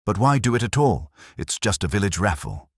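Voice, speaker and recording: A man's voice: fairly low pitch, expressive, brisk, vocal fry, husky, no echo, studio quality, pitched above the performer's own voice, heavily acted. A man voicing a keen, plain-spoken detective sidekick who questions and reasons in a mystery story. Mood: puzzled.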